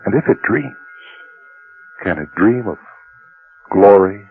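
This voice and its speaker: A man's voice: lowish voice, lively intonation, slow, creaky. A man narrating a radio drama in a dramatic, scripted style. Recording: narrow-band old radio recording.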